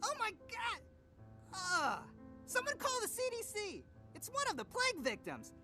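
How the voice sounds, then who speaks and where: Casting: panicked passer-by, very high and piercing, wildly swooping, frantic and repulsed, game dialogue. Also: female voice, very animated, normal speed, bright, forceful tone, faint music behind, full character voice. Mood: panicked, disgusted. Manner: shrieking, frantic. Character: panicked, disgusted female bystander fleeing plague victim. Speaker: game character. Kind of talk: video game voice acting.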